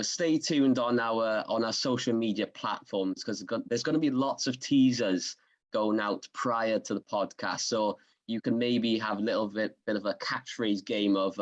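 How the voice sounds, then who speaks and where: Upbeat Swansea Welsh man, low voice. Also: dull audio. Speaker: podcast host. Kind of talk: conversational interview.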